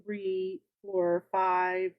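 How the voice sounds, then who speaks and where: Slow-talking woman, dry close sound. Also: medium pitch, monotone, no room sound, lo-fi sound. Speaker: guest crocheter. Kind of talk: casual crochet chat on a livestream.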